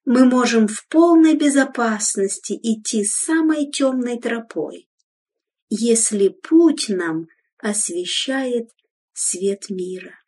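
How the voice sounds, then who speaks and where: A woman giving a solemn, measured devotional reading in an exhorting tone, heard from some distance from the microphone. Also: a little high, darkish tone, breathy edge. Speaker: reader of devotional text.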